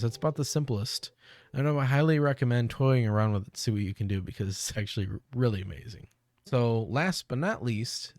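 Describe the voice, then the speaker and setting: A man's voice: mid-range voice, darkish tone. Casual young man giving a tutorial over music. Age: young adult. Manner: informal, conversational. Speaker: tutorial host. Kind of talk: casual software tutorial to camera. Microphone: close desk microphone on boom arm.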